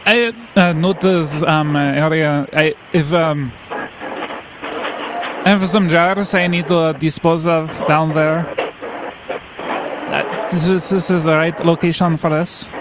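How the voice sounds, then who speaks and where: A man's voice: fairly high pitch, steady tone, takes it slowly, long pauses, noisy background. A man hosting a live comedy radio show with prank calls, rambling and stumbling over his words while laughing at himself.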